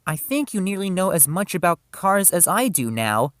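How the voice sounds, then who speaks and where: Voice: mid-range voice, sing-song, normal speed, fairly bright tone, dry recording, clean studio sound, voice pushed up. A storyteller voicing a kindly, reassuring older male engine, friendly and encouraging, in a children's story.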